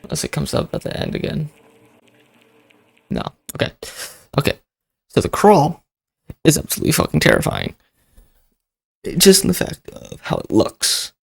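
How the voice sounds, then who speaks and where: A video host giving casual reaction commentary in an informal, expressive, sweary way. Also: medium pitch, normal speed, vocal fry, long pauses, breathy edge, dry recording.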